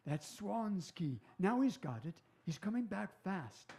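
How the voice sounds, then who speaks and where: An actor playing a shrewd police inspector in a radio drama, with an authoritative, deliberate delivery. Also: male voice, high voice, sing-song, gravelly, darkish tone, a little hiss or hum, full character voice. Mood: tense, watchful. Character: shrewd, commanding male police inspector on a stakeout. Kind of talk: stage radio drama acting.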